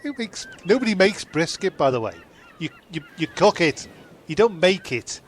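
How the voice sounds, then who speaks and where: A man's voice: high-pitched, sing-song, moderate pace, a little hiss or hum. A man in an improvised comedy, heckling rowdily and repeating himself. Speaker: cast member playing John.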